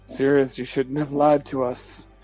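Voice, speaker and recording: A man's voice: medium pitch, flat delivery, a little slow, music in the background, rough recording. A man playing in a tabletop game, cursing playfully and joking at the table. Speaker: player. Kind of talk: casual chat at a tabletop game.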